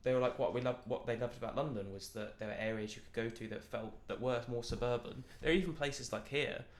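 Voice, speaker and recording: A man's voice: mid-range voice, quick pace. A young man as a podcast guest in casual chat, rambling and laughing often.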